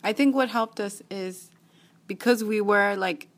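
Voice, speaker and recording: A woman's voice: medium pitch, measured pace. Upbeat Kenyan woman podcast host. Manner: upbeat, encouraging, praise-filled. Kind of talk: faith-based podcast chat.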